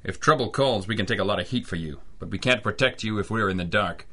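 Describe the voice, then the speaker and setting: Man playing a deep, forceful hard-boiled detective. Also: fast talker. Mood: tough, steady. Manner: tough, wry, gruffly reassuring. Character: hard-boiled, wisecracking male private eye. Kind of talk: old-time radio detective drama acting and narration.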